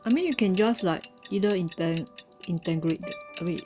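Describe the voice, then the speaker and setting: Quick, low-voiced Singaporean or Malaysian woman gamer. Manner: halting, colloquial. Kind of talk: casual gameplay commentary. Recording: voice-over atop loud game music.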